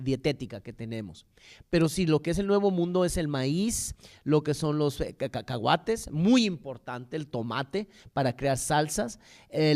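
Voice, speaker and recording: A man's voice: a little high, a little mellow. A man with a Mexican accent giving an informal history lecture, rambling and often repeating or correcting his words.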